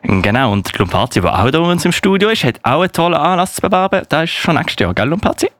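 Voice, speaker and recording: A man's voice: a little high, sing-song, fast talker, a bit bright. A man with a Swiss German accent hosting a live radio show in a casual, chatty style. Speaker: radio host.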